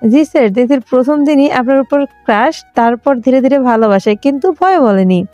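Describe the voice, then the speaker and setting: A woman's voice: high voice, animated, fairly quick, mellow, no room sound, muffled recording, faint music behind. A woman playing a teasing, outspoken young best friend in a romantic audio story. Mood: confiding. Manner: teasing, blunt.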